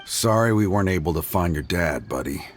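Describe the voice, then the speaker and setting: A steady searcher in an anime game. His voice is low, with a gentle, matter-of-fact delivery. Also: a little fast, slight background noise. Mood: sympathetic. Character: steady, sympathetic male search party member.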